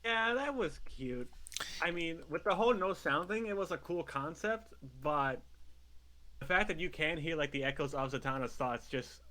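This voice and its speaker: A man with a somewhat high-pitched voice and a very sharp, bright tone. He speaks conversationally, as a co-host chatting through a fan review. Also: animated, moderate pace. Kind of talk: casual review chat.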